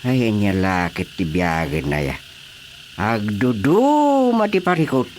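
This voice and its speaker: A man's voice: lowish voice, sing-song, measured pace, slight background noise. A man acting a grumbling, dismissive husband in a radio drama, exclaiming with an Ilocano accent. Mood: exasperated.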